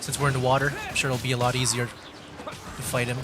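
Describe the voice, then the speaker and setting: A man's voice: mid-range voice, little pitch movement, brisk, background noise. A man giving casual livestream commentary as he plays a video game. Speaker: streamer.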